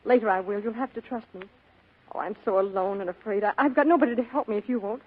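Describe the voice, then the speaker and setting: A woman's voice: mid-range voice, quick pace, lightly acted. A woman playing a secretive, pleading femme fatale in a 1940s radio drama, with dramatic delivery. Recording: tinny, narrow old radio broadcast.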